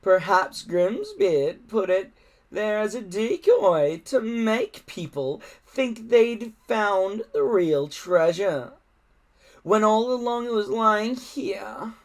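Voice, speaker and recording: A man voicing a sly, menacing storybook villain who speaks in a slow, drawn-out, insinuating way. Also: high voice, big pitch swings, voice pushed up, exaggerated voice. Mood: sly persuasion. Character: sly, menacing adult villain, smooth and treacherous.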